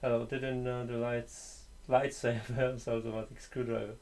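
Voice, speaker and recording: Guy thinking out loud while building something, gravelly low-key voice, slightly broken english. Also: flat delivery, moderate pace. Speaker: maker streamer. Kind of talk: casual live-stream chat while building.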